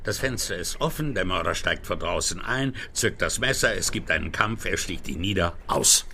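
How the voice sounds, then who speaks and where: An actor playing a cocksure, matter-of-fact police inspector in a German radio play, with a gravelly voice. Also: male voice, low voice, animated, normal speed, sharp tone, slight background noise, full character voice. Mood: confident, speculating.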